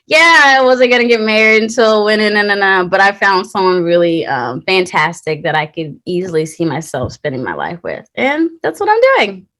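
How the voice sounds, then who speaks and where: A woman in her late twenties from Texas, an actor being interviewed, talking in a chatty, lively way and laughing often. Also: fairly low pitch. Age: late 20s. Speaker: actor guest. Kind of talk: casual interview chat.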